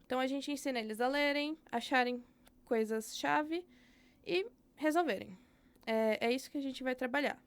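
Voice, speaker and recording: Clear-voiced young Brazilian woman. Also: on the high side. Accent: Brazilian Portuguese. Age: young adult. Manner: candid, reflective, thinks aloud with frequent fillers. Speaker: podcast guest and educator. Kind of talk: casual interview conversation.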